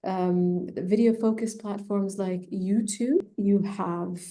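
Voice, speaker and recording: A woman who specializes in personal branding, giving measured, thoughtful advice in an interview with frequent fillers. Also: medium pitch, steady tone, mellow. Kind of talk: conversational expert advice.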